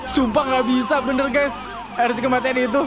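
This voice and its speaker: A man's voice: extremely high pitch, fairly even intonation, brisk, music in the background, crowd noise. A man commentating on game roleplay in a slangy, exclamatory way, often repeating words. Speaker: gaming video creator and host.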